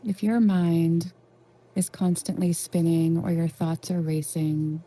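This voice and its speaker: A woman leading a guided meditation in a gentle, reassuring, unhurried way with long pauses. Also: fairly low pitch, little pitch movement. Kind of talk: soothing guided meditation narration.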